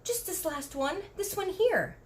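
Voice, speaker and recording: A woman's voice: very high voice, animated, measured pace, voice pushed up. A woman voicing a curious, outspoken young girl in a storybook read-aloud, inquisitive and precise.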